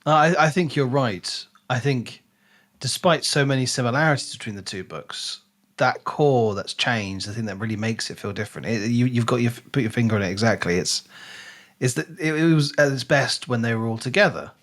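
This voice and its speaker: A man's voice: mid-range voice, moderate pace, fairly bright tone. A man co-hosting a podcast, casually discussing a book and rephrasing as he thinks aloud. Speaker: podcast co-host.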